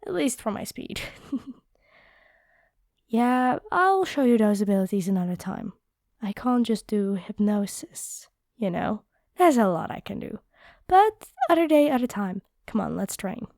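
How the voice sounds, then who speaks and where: A woman playing a kind, encouraging vampire fighter in a roleplay audio drama, speaking gently and earnestly. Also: medium pitch, very animated, unhurried, no room sound, clean studio sound. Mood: gentle, playful.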